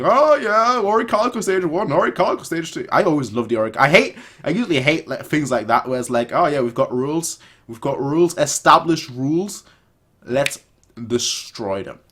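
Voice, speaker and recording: A man's voice: mid-range voice, a bit bright, slightly rough. Man giving rambling, emphatic commentary. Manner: rambling, frequently doubling back and repeating phrases for emphasis; emphatic, opinionated. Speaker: reaction video host. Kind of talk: casual off-the-cuff commentary.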